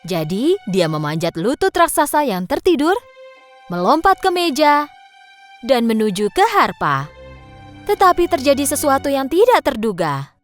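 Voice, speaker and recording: A woman's voice: sits high, sing-song, unhurried, faint music behind. A woman with an Indonesian accent narrating a scripted children's story as the storyteller.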